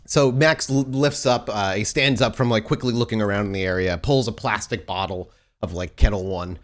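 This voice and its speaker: A man acting as game master, improvising descriptive, halting narration for a tabletop roleplaying game. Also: lowish voice.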